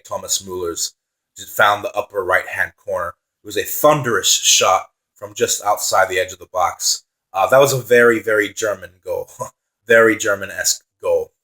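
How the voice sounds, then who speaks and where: A young man giving casual football reaction commentary on his own channel, enthusiastic and repeating phrases for emphasis, his voice dry and slightly raspy from talking so long. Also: on the low side, fairly bright tone, rough recording. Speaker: football vlogger.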